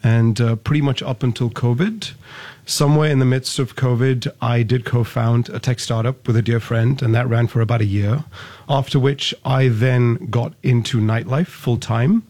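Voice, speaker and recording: A young man from Singapore, a startup founder answering interview questions in a measured, wordy way with formal phrasing. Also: mid-range voice, steady tone, a little mellow.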